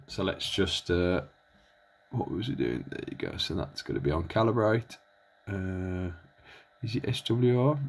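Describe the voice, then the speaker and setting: A man's voice: deep voice, slight background noise. A man giving a casual, unscripted hands-on equipment demonstration as a hobbyist, hesitant and rambling as he thinks aloud. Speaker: hobbyist presenter reviewing radio equipment.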